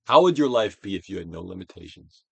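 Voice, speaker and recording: A man gives a dramatic, stage-trained reading of a self-help book, emphatic, with drawn-out phrases that swell and drop to a hush. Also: low voice, sing-song, vocal fry, slightly rough, no echo. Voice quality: trained stage-actor delivery. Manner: emphatic, drawn-out phrases with big dynamic swells and hushed drops. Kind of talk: theatrical dramatic audiobook reading.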